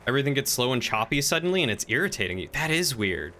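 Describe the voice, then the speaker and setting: A man talking casually on a gaming livestream, chatty and playful, thinking out loud as he goes. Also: mid-range voice, very animated, fast talker, a little hiss or hum.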